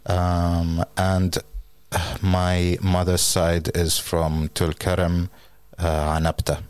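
A man from Finland talks slowly and haltingly, his voice very low and deep. He fills his pauses with ums and uhs. The sound is dry and close. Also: monotone, darkish tone, no room sound. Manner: hesitant, halting, many fillers. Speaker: guest. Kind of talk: casual conversation.

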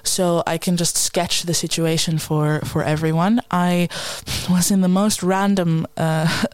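A woman guest in a conversation, talking in an earnest, thoughtful and measured way. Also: fairly low pitch, little pitch movement, a little mellow, smooth, no echo. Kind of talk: reflective conversation.

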